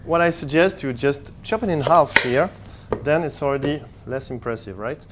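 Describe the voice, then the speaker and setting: A man working as head chef, demonstrating a recipe in a kitchen and calmly explaining each step. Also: mid-range voice, normal speed, background noise. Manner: relaxed, explains steps simply. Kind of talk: cooking demonstration.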